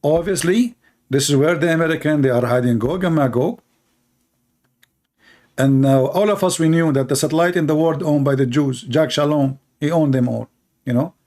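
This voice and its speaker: A man with a somewhat high voice rants sarcastically in broken English, full of stumbles and fillers. He mocks as he hosts an online debate. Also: moderate pace, a little mellow. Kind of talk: mocking satirical rant.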